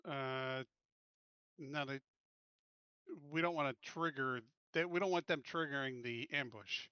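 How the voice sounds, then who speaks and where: A man playing a tabletop roleplaying game, talking casually and haltingly as he plans, on a slightly dull, dry online voice chat. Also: medium pitch, bright, forceful tone, clear, resonant tone, dry recording.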